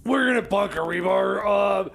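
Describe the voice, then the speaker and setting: A man's voice: high voice, normal speed, creaky, fairly bright tone. A man co-hosting a comedy radio show, playing a flustered, eager bunker sidekick who stammers and hesitates. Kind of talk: improvised comic banter.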